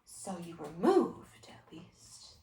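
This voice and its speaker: Roomy sound, a woman acting an exasperated female teacher, measured, stern, earnest, restrained, stage monologue. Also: on the high side, animated, fairly bright tone, distant mic. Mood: dry, reproving. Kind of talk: solo dramatic interpretation performance.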